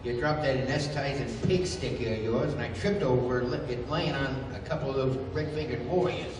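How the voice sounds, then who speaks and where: A man's voice: mid-range voice, a little fast, lightly acted. An actor playing a wisecracking, bickering tough-guy sidekick in a 1930s-style radio serial. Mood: joking, grumbling. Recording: distant echoey hall, music underneath.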